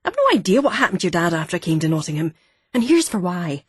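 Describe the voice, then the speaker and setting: A woman voicing a gruff, wheezy older ex-miner man with a deep, gravelly voice, a Fife accent and a blunt, irritated manner. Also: sing-song, fast talker, dry recording, studio quality. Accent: Fife Scottish.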